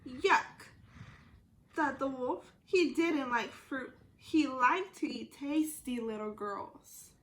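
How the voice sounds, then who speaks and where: A woman student teacher reading a storybook aloud to young children, deliberate and pausing to question her listeners. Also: sits high, fairly bright tone.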